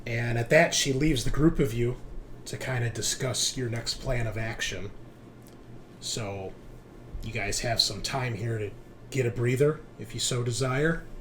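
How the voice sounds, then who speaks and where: A man's voice: mid-range voice, unhurried, fairly bright tone, slight background noise. A man casually narrating and running a tabletop roleplaying game as game master. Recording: music playing under the voice. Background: background music.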